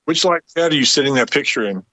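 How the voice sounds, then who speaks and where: A man's voice: mid-range voice, animated, quick pace, bright, forceful tone, dry recording, low-quality recording. A man chatting casually as a show contributor, with an informal, laid-back, folksy way of talking. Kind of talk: casual chat.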